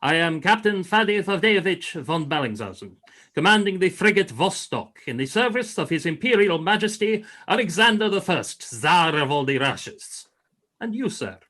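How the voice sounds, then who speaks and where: A man's voice: sits high, big pitch swings, moderate pace, bright, forceful tone, exaggerated voice. A man playing a courtly Russian frigate commander in a radio play, formal, gracious and grand in manner. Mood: gracious, proud. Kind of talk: radio play acting, 1940s style.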